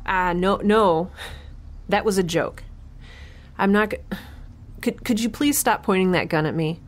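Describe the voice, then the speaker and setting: A woman's voice: mid-range voice, a little slow. A young woman giving a wry, chatty scripted monologue as the lead of an audio drama. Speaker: solo audio drama narrator and protagonist.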